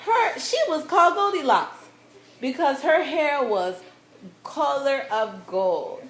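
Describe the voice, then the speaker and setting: A high-pitched woman reads a children's fairy tale at a slow, deliberate pace. She is narrating a puppet show in a roomy, echoing space. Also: lively intonation, fairly bright tone. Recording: echoey room, faint background noise.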